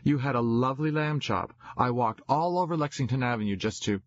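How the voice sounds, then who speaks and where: A man's voice: mid-range voice, expressive, brisk, voice pushed up, natural voice. A man voicing a strict, proper mother in an audiobook, scolding and full of questions. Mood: brisk, motherly. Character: strict, fussing middle-class mother of a young girl. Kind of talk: audiobook character dialogue. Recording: dull, roomy, echoey recording.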